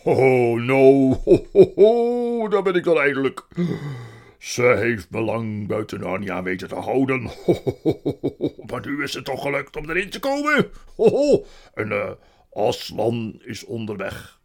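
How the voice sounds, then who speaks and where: A man voicing a huge, jolly old Father Christmas who booms with hearty laughter. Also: very animated, a little slow. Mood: jovial. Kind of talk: storybook reading.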